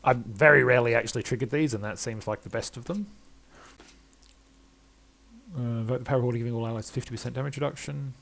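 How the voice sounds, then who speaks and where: A man's voice: mid-range voice, steady tone, fast, creaky, pauses often, fairly bright tone. A middle-aged man playing a video game, thinking aloud and reading out menus as commentary. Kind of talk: let's play commentary. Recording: faint game ambience behind voice.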